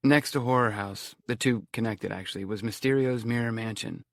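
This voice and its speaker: Low gravelly male audiobook narrator. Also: a little fast, no room sound, clean studio sound.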